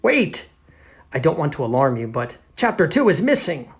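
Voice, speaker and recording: A man's voice: a little high, sing-song, moderate pace, voice pushed up. A man voicing a flustered, indignant storybook narrator in an exclamatory, emphatic way. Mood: alarmed. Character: flustered, indignant book narrator alarmed by a mystery.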